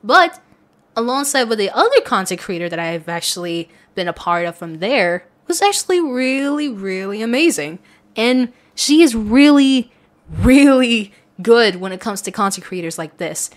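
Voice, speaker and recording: A woman's voice: fairly high pitch, sing-song, moderate pace. A woman speaking casually and earnestly in a rambling, chatty way as she reads and answers questions from listeners. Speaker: audio content creator.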